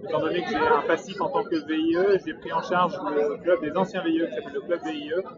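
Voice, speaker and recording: A man in his twenties from Brittany, an entrepreneur giving measured advice in an interview, with frequent hesitations. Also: fairly high pitch, steady tone, over a noisy crowd. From Brittany, France. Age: 20s. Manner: measured and advisory, many hesitations. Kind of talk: interview monologue. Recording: distant, muffled mic with loud background noise.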